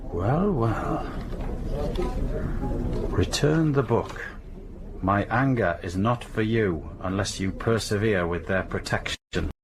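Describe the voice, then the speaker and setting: An actor playing a polite, wry, sceptical police detective in naturalistic radio drama dialogue, casual and dryly humorous. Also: male voice, fairly low pitch, expressive, slow, long pauses, noisy background. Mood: businesslike.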